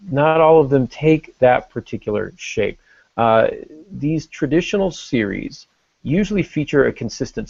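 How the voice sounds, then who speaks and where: A man's voice: medium pitch, gravelly, a little mellow, no room sound, lo-fi sound. A man hosting a podcast, explaining casually and thinking aloud, with some joking along the way. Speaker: podcast host.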